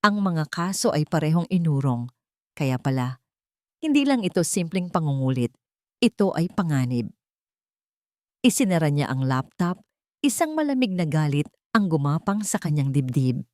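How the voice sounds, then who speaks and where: A woman's voice: sits low, sing-song, moderate pace, pauses often, no echo, studio quality. A woman narrating a scripted romantic drama as a storyteller.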